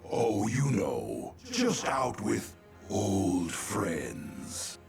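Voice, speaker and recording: An actor playing a sly demon lord with a languid, sinister drawl in a comedic video game. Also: male voice, lowish voice, very animated, very slow, creaky, faint music behind, exaggerated voice. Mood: sly, casual.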